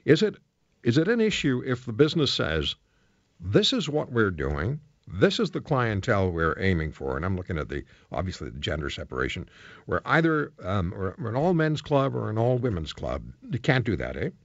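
A man's voice: on the low side, sing-song, moderate pace, no echo. A man from Canada hosting a live radio interview, probing in a conversational way. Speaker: radio host. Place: radio studio. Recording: slightly muffled, dry radio studio sound.

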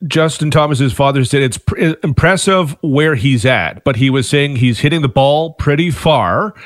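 A man giving a radio sports report, explaining events in a measured, steady way into a studio microphone. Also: fairly high pitch, dry recording.

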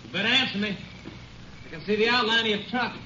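An actor playing a suspicious, defiant farm owner with an American accent, making curt, challenging demands in 1950s western radio drama style. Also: male voice, high voice, fast talker, sharp tone. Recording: muffled, noisy old radio recording.

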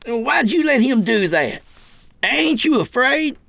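A man's voice: a little high, animated, a little slow, creaky, a bit bright, a little hiss or hum, pitched above the performer's own voice, heavily acted. A man voicing a young, defiant, jumpy jailed killer with folksy rural speech in a narrated story. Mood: panicked, challenging.

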